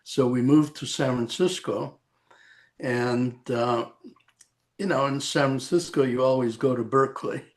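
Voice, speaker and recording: A man in his eighties from El Salvador, a retired radiation oncologist recalling his career in a halting, rambling interview over a video call. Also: medium pitch. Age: 80s. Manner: slow, rambling, halting with frequent "uh". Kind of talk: reminiscing interview.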